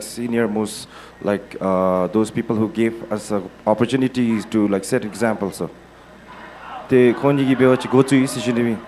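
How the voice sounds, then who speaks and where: A man's voice: medium pitch, a little hiss or hum. Man commentating a fighting game. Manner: switches between two languages, laid-back with bursts of excitement. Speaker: match commentator.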